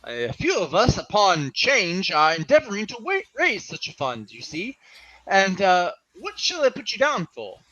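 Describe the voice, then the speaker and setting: A man playing a polite, earnest gentleman collecting for charity in a radio-style play reading, formal in manner, on a slightly dull microphone. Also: high-pitched, huge pitch swings, normal speed, heavily acted.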